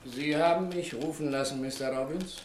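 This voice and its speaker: A man's voice: a little high, normal speed, lightly acted. An actor playing a reluctant, grumbling bank employee in a German radio play, hesitant and sullen with his superior.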